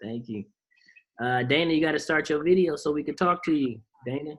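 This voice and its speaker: A man's voice: on the high side, brisk, a bit bright. A man hosting a team video call, talking in a loose, slangy way and firing off rhetorical questions to motivate the group.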